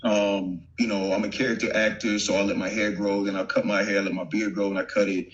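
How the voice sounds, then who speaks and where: Laid-back low-voiced man with softened Southern accent. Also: brisk, highs cut off. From Virginia. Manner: relaxed, laughs often, many fillers and restarts. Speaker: actor and former football player, guest. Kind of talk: casual interview chat. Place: home, remote video call. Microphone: video call.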